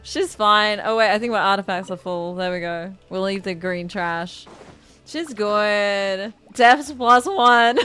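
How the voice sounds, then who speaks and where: A woman's voice: medium pitch, slow pace, a bit bright, faint music behind. A woman livestreaming a game, chatting casually with gushing, giggly reactions to what she plays. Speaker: game streamer. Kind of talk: casual livestream gameplay commentary.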